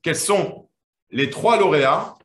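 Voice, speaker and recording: A man's voice: a little high, expressive, slow pace, fairly bright tone, reverberant. A man hosting an online quiz final, interviewing the winners in a friendly, hesitant way with many fillers. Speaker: host.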